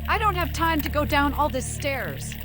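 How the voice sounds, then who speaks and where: A woman voicing a frantic, fiercely protective young female vampire in a video game, with dramatic, drawn-out exclamations. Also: high-pitched, lively intonation, voice pushed up. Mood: furious, desperate. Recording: music behind the voice.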